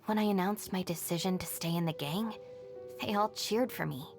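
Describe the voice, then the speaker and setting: A woman's voice: lowish voice, normal speed, darkish tone, lightly acted. An actress playing a level-headed, self-reliant young woman in a video game, speaking in a composed, dry, matter-of-fact way. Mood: calm, assured. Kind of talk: scripted video game character voice lines. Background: background music.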